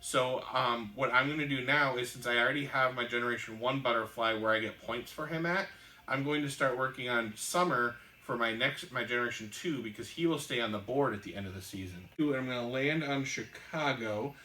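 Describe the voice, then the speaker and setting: A man's voice: medium pitch, brisk, sharp tone. A man hosting a board game show, patiently explaining the rules step by step as he plays, with frequent ums. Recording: roomy room, mic at a distance, faint hiss.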